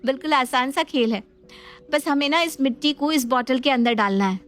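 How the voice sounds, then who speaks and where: Patient young wife played by a woman, earnest explainer, high-pitched. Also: normal speed, a bit bright, faint music behind. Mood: explaining. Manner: earnest, persuasive. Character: young newlywed daughter-in-law, patient and modern-minded. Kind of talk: Hindi family drama acting.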